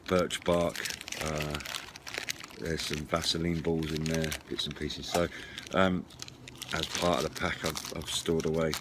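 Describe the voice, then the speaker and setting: A middle-aged man giving a casual, hesitant, explanatory walk-through of gear to the camera. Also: low voice. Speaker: presenter of a CB radio and camping gear channel. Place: outdoors at a wooden garden table by a house. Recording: clear background noise, outdoor clatter of handled gear.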